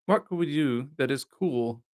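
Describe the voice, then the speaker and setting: A man's voice: mid-range voice, unhurried, a little mellow, natural voice. A flippant young man in an audiobook, acted by a male narrator. He talks in a casual, wry way, with idle playfulness and dry humor.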